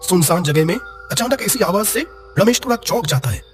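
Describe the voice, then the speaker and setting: A man narrates a horror story in a dramatic, scripted style. His fairly high voice moves expressively over clearly audible music. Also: animated, fairly quick.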